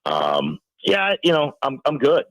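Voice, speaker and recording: A man's voice: medium pitch, wildly swooping pitch, measured pace, a bit bright, dry recording, muffled recording. A middle-aged American man chatting casually as a radio interview guest, with a joking, self-deprecating manner.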